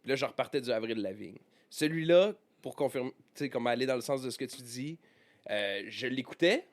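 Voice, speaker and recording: A man's voice: medium pitch, lively intonation, a little fast. A young man, co-hosting a casual music chat, telling stories animatedly and dropping in English words. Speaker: podcast co-host.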